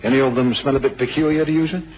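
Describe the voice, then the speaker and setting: An actor playing a respectful, attentive police sergeant and sidekick in a radio drama, brisk and deferential. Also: male voice, medium pitch, steady tone, lightly acted. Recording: narrow, hissy old radio recording.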